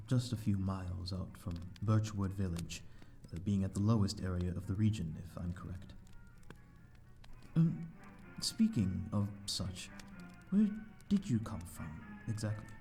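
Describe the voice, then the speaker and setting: A man's voice: low-pitched, unhurried, gravelly, dark tone, soft background music. A man playing a courteous, lonely vampire host in an ASMR audio roleplay, speaking in a formal, flowery, slightly flustered and hesitant way. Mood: curious, polite. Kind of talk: ASMR audio roleplay, scripted character acting.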